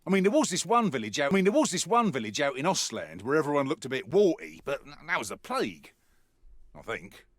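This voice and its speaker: An actor playing a wry, world-weary mercenary soldier in a video game, with a colloquial, grumbling delivery. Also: male voice, fairly high pitch, big pitch swings, fast, no echo, studio quality. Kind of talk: scripted in-character mission briefing monologue.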